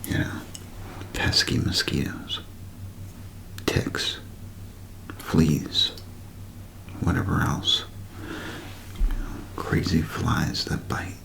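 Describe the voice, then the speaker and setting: A man doing a whispered ASMR show-and-tell, speaking in a hushed, unhurried way with gentle pauses. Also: a bass voice, flat delivery, gravelly, rough recording. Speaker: ASMR creator.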